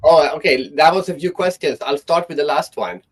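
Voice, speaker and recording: A man's voice: on the high side, normal speed, husky. A man speaking as a guest on an online panel, talking thoughtfully and often restarting his sentences.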